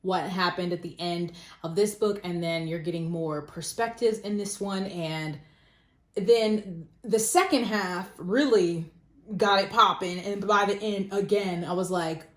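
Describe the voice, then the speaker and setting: A woman's voice: on the low side, a little slow. A woman giving a casual, self-deprecating book review in an informal, conversational way. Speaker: book vlogger. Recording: roomy echo.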